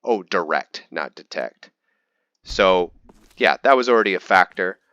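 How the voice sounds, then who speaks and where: A man's voice: deep voice, animated, measured pace, bright, forceful tone, dry recording. A man giving casual gameplay commentary as a host, rambling as he explains things step by step. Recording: dull lo-fi mic with faint noise.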